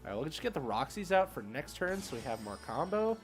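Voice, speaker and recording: A man's voice: fairly high pitch, big pitch swings, quick pace. A man streaming an online card game, thinking aloud in casual, chatty play-by-play with slangy asides. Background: music playing behind the voice.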